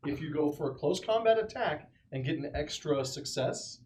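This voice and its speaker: A man's voice: a little high, expressive, moderate pace, a little mellow, a little hiss or hum. A man playing a tabletop game, chatting casually and talking through moves and rules. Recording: busy room with clear chatter.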